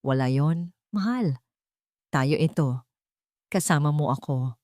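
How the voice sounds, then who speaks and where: A woman voicing a tender, supportive husband and father in a romance audio story, speaking with soft affection. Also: low-pitched, lively intonation, slow pace, no echo, clean studio sound. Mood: tender, reassuring.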